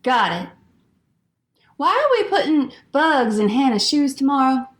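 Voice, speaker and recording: A woman playing a timid, kind-hearted child in comedy radio theatre, with a gentle, hesitant, naive manner. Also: high-pitched, big pitch swings, unhurried, reverberant. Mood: puzzled.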